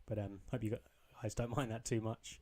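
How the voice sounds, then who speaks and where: A young man with a slightly croaky voice, casually commentating his own football video game match, rambling with ums and restarts. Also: lowish voice, steady tone, moderate pace, darkish tone. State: a bit croaky. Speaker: gaming channel host.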